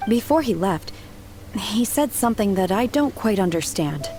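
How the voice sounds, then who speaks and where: A woman playing a solemn, detached young hermit in a video game, speaking in a measured, earnest way. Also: mid-range voice, lively intonation, dark tone, soft background music, close to an everyday voice. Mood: puzzled.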